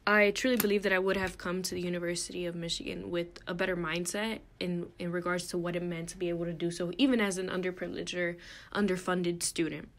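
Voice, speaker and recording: A woman's voice: lowish voice, flat delivery, fast. A young woman from Puerto Rico giving a recorded slide presentation as a student presenter, earnest and explanatory, with frequent ums and uhs. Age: young adult.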